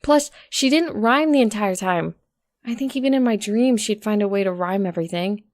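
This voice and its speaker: A woman's voice: mid-range voice, normal speed, a little mellow, dry recording, close to an everyday voice. A woman voicing a stubborn, sarcastic young mare who tells her own story in an informal, conversational way. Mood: puzzled, wry. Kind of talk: first-person dramatic story narration.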